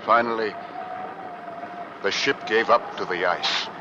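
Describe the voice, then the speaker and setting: An actor playing a dutiful ship's navigator narrating an Arctic voyage in formal, dramatic old-time radio style. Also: male voice, on the low side, steady tone, slow, background noise. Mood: grave, foreboding. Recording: dull vintage radio recording with music.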